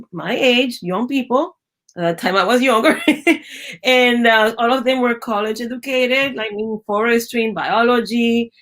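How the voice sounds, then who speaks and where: A middle-aged woman from Venezuela speaks English with a Venezuelan Spanish accent, a native Spanish speaker's rhythm running through her phrases. Her voice sits fairly high, and she tells her stories in a lively way, acting out the voices she quotes. She often breaks off and restarts a phrase mid-thought as a guest in a relaxed interview. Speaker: guest, biologist. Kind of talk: conversational storytelling interview.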